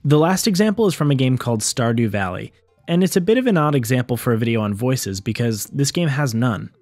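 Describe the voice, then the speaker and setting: A man hosting an explainer video, reading scripted narration in a clear teaching structure. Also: medium pitch, expressive, brisk, a little mellow, dry recording, faint music behind. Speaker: video essay host on game sound design.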